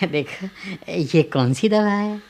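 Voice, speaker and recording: Sick old man, high creaky voice, slow drawn-out delivery, plaintive, grumbling, radio play. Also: expressive, a little mellow, exaggerated voice. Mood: querulous, sarcastic. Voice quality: creaky, thin elderly voice. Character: sick, querulous old father obsessed with his medicines. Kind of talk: Hindi radio drama acting.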